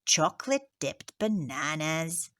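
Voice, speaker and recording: A woman teacher reading a picture book aloud to children, expressive and dropping into soft whispers on headings and asides. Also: sits low, a little slow. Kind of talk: children's story read-aloud.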